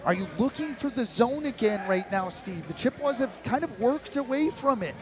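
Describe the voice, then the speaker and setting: A man doing live radio play-by-play of basketball as the announcer, calling the action in clipped, rapid-fire phrases. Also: very high-pitched, expressive.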